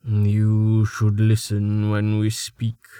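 An actor playing a calm, scholarly man who speaks slowly with restrained anger. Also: medium pitch, no room sound, studio quality, close to an everyday voice. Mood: stern. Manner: measured, slow, with restrained anger.

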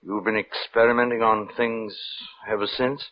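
An actor playing a troubled minister, grave, fearful and morally firm, pleading haltingly in old-time radio drama style. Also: male voice, on the low side, fairly even intonation, close to an everyday voice. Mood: disapproving. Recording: muffled, noisy old radio recording.

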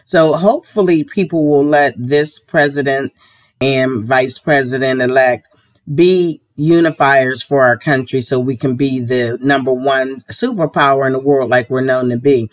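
A woman from the United States hosting her own podcast, a little hoarse from a sore throat, talking earnestly and with strong opinions. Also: very deep voice, fairly even intonation, measured pace. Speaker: podcast host. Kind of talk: casual solo podcast talk.

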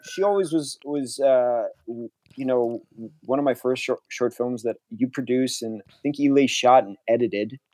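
A man's voice: mid-range voice, normal speed, dark tone, no echo. A young man from Connecticut, a filmmaker being interviewed, talking casually and enthusiastically with frequent restarts. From Connecticut, USA. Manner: rambling, restarts often, enthusiastic. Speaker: filmmaker guest.

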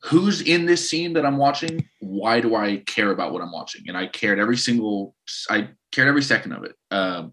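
A man in his thirties co-hosting a casual podcast, telling stories in an animated, rambling way and often restarting his sentences. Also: lowish voice, brisk. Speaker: podcast co-host.

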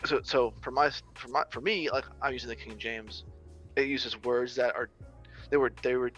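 A man's voice: medium pitch, fairly even intonation, normal speed, a bit bright, faint music behind. A young adult man in casual conversation, rambling through ideas with many restarts and fillers. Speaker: social media creator on biblical masculinity.

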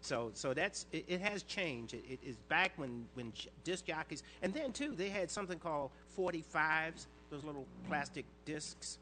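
An older man with a fairly high, light voice answers questions about his years on air. He talks in quick bursts, leans on his inflections and restarts phrases often. A faint hum sits under the recording. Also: expressive, sharp tone. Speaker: radio disc jockey guest. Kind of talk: interview answers, reminiscing.

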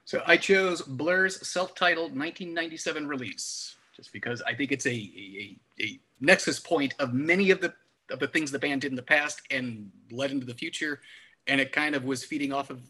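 Podcast guest guy, mid pitch, bright clear-cut voice, casual music talk, affable. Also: moderate pace.

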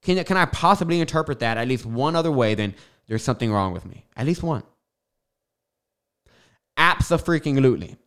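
A man's voice: mid-range voice, animated, brisk, pauses often, fairly bright tone, clean tone. A young man with a lisp, hosting a podcast and talking casually in a rambling monologue full of fillers and tangents. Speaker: podcast host. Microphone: studio microphone.

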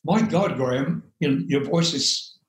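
An older English man with a loud speaking voice, telling rambling stories as an interview guest, with many fillers and frequent laughs. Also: on the high side, a little slow, echoey room, poor audio. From England. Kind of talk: relaxed storytelling interview.

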